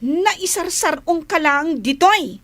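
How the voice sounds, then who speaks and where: A woman's voice: extremely high pitch, expressive, takes it slowly, a bit bright, exaggerated voice. A woman acting a spoiled young rich girl in a Filipino radio drama, haughty and demanding. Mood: haughty, bossy.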